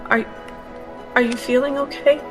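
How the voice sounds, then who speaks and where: A woman speaking in character in a tabletop game, concerned and gently hesitant. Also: high-pitched, fairly even intonation, moderate pace. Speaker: tabletop role-playing player. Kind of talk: in-character roleplay. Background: background music.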